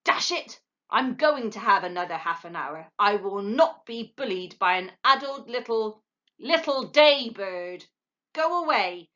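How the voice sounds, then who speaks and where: Woman doing a grumpy sleepy male barn owl, gruff, blustering, loud, storybook, muffled recording. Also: mid-range voice, lively intonation, slow.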